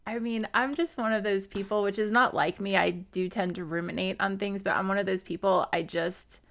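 A woman's voice: mid-range voice, steady tone, a little fast, gravelly, a bit bright. A middle-aged woman from southern Missouri, co-hosting a podcast and telling candid, reflective stories in casual chat. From southern Missouri, USA. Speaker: podcast co-host.

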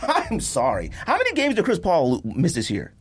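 An American man hosting a podcast, talking sports casually in an animated, emphatic, exasperated rant with a husky voice. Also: a little high, normal speed. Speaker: sports and sneaker podcast host.

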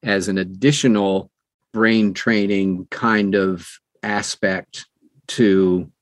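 An older man who narrates audiobooks, talking conversationally in an interview with measured, emphatic phrasing and deliberate pauses. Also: low-pitched, fairly even intonation, no echo.

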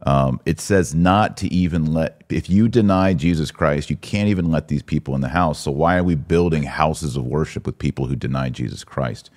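A man's voice: very deep voice, normal speed, few pauses, a little mellow. A man hosting a podcast, giving religious commentary in a casual conversational style with an emphatic, indignant manner. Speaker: podcast host.

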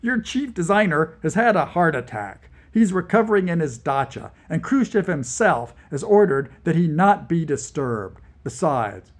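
A man's voice: sits high, voice pushed up. Yezhov is a scrawny, hardline Soviet interrogator with a snappish, yapping voice, as a man reading the tale puts him on. He sneers and lectures in a somewhat raised, clipped tone. It has the feel of a storybook character. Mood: scornful.

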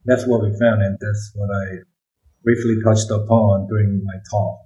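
A man's voice: on the low side, level tone, moderate pace, dark tone, lo-fi sound. Korean-accented man explaining brain research. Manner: explanatory, often adds "right?". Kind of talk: interview answers.

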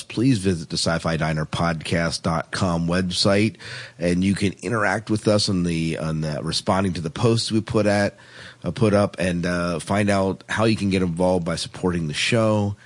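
A man hosting a casual podcast chat, often repeating and restarting his phrases as he talks. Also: sits low. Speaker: podcast host.

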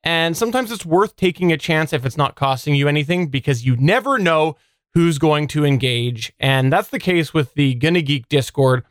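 A man's voice: a little high, expressive, moderate pace, no room sound, studio quality. A man hosting a podcast in a casual, conversational style, moving through segments and listener feedback. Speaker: podcast host.

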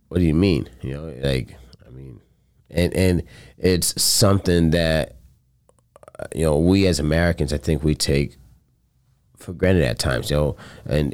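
A man with a strikingly deep, smooth, resonant voice recounts a story in relaxed conversation. He talks earnestly in loose, rambling phrases and drops casual swears along the way.

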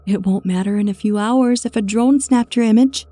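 A woman voicing an older spinster who is sharp-tongued, profane and quick to snap. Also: mid-range voice, dry recording, natural voice. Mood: furious. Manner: profane, cutting, snapping.

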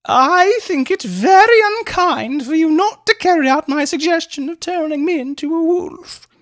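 A man voicing a provocative young society wife in a high falsetto. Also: lively intonation, moderate pace, fairly bright tone, exaggerated voice. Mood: reproachful.

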